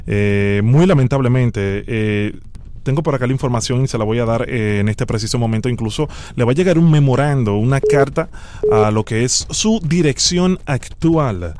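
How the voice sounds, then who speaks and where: A man on a prank phone call playing a stern, bureaucratic immigration official, speaking formally and gravely. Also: mid-range voice, lively intonation, moderate pace, a little mellow, natural voice. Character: stern male immigration official reading a deportation order. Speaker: prank caller.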